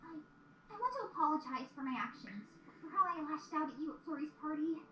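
Woman as anxious cartoon pony princess, high voice, hesitant, apologetic, echoey playback with some noise. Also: lively intonation, fairly quick, bright, forceful tone. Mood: apologetic, nervous. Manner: hesitant, stammering. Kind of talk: cartoon fan audio drama. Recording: roomy playback with background noise.

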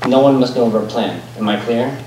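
An actress playing a menacing, commanding warrior princess in an amateur stage comedy. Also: female voice, rumbling low, a little fast. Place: school auditorium stage, filmed from audience. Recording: roomy far-off stage recording, clear noise.

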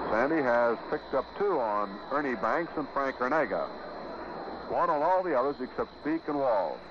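An American man doing 1950s radio baseball play-by-play in short, measured, clipped phrases. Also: mid-range voice, highs cut off. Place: ballpark radio broadcast. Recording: noisy, band-limited 1950s radio broadcast.

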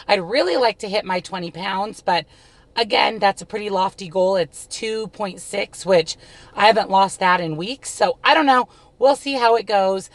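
A woman's voice: medium pitch, a bit bright, dry recording. A woman in her thirties, her voice slightly scratchy, chatting upbeat to viewers from inside a parked car, filmed with a phone held at arm's length. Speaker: vlogger. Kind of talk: casual vlog talk to camera. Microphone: phone camera at arm's length.

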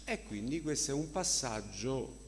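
A man's voice: mid-range voice, animated, unhurried, gravelly. An older man leading church worship as pastor, speaking in short, devout exclamations of praise and prayer. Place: church hall, at a pulpit. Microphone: gooseneck pulpit microphone. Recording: echoey church hall, congregation murmuring. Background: congregation voices.

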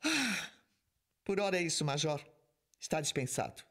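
An actor playing a stern, authoritative squad commander in an audio drama, speaking firmly. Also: male voice, fairly high pitch, big pitch swings, normal speed, fairly bright tone, slight background noise. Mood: grave. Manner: firm, authoritative.

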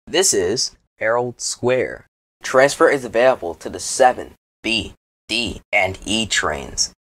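Dry, husky male transit announcer. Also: on the low side, animated, takes it slowly, fairly bright tone, dry recording. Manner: formal, segmented phrasing. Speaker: subway station announcer.

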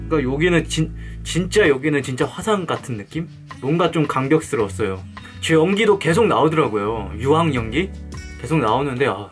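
A young Korean man looking back on his trip and explaining it earnestly to the camera. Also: fairly high pitch. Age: young adult. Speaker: vlogger commenting to camera. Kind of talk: reflective commentary. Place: indoor studio setup.

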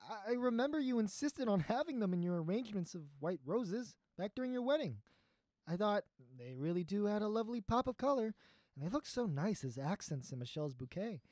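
A performer plays a sentimental old friend in an audio drama, earnest and pleading. The voice is mellow and sits mid-range, with hesitant, natural phrasing. It is recorded dry. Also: medium pitch, big pitch swings, brisk, dry recording, natural voice. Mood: eager to please. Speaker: voice actor in student audio drama.

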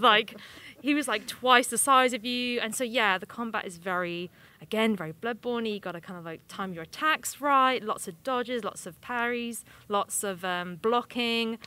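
A woman's voice: mid-range voice, bright, forceful tone. A young woman giving casual, chatty game impressions in informal, slangy, rambling phrases into a handheld microphone. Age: young adult. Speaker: games journalist giving hands-on impressions. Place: small indoor room at a games convention.

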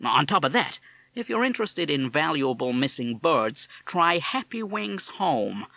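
A man's voice: fairly high pitch, big pitch swings, a little slow, fairly bright tone, no echo, pitched above the performer's own voice, full character voice. A man doing a brash gangster-talking parrot. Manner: brash American gangster slang. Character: streetwise tough-talking male parrot.

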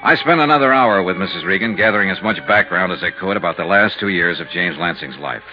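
An actor playing a hard-boiled, weary insurance investigator in a 1950s radio detective drama. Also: male voice, deep voice, fast, music in the background, lightly acted. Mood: matter-of-fact narration. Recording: muffled 1950s radio recording.

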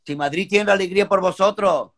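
A man from Córdoba with a slightly raised pitch trades jokes on a live stream. He is animated and self-mocking, talking into a phone held close. He speaks from a bedroom at home. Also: fast. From Córdoba, Spain. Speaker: singer, live stream co-host. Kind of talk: casual banter on a live video stream. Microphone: phone held close, video call.

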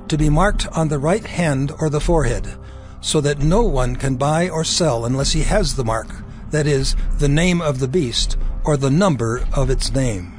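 Male narrator, somewhat high register, solemn and measured scripture drama, faint music beneath.

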